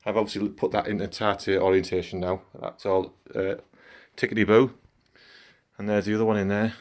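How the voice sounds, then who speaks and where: A middle-aged man with a croaky voice from a cold, chatting casually in a home vlog as he works and comments on it. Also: fairly low pitch, flat delivery, brisk, darkish tone. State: hoarse from a cold. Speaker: gaming channel host.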